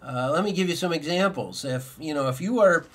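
A man's voice: a little high, fairly quick, fairly bright tone, breathy edge. A middle-aged man pastor giving a sermon from a desk in an office, earnest and explanatory, asking rhetorical questions and repeating his points. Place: indoor office with bookshelves.

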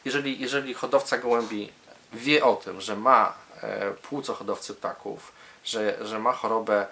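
A man's voice: lowish voice, fairly even intonation, normal speed, gravelly, bright, forceful tone. A man speaking as a medical specialist in an interview, explaining hesitantly and often repeating words as he searches for phrasing.

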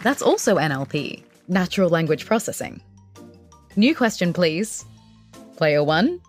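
A woman hosts a game show in theatrical style. Her slightly low voice swings animatedly, over faint music. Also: sing-song, unhurried.

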